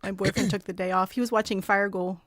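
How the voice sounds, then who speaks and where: A woman's voice: mid-range voice, steady tone, fast talker, no echo, clean studio sound. A middle-aged woman hosting a podcast, chatty and encouraging, laughing often. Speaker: podcast host and voiceover coach. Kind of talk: casual chat.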